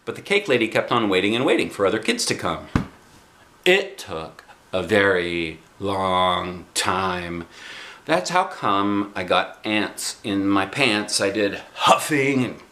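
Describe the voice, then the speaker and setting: A man in his forties with an American accent, reading a children's book aloud in an animated storytelling style. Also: on the low side. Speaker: storybook reader, dad. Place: small indoor room, on a sofa by a window.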